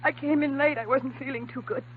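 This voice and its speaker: Actress as a distraught, very high-voiced young woman. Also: steady tone, brisk, close to an everyday voice. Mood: distraught, tearful. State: crying, shaken. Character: distraught young female doctor's assistant. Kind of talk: 1950s radio melodrama acting. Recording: tinny, noisy 1950s radio recording.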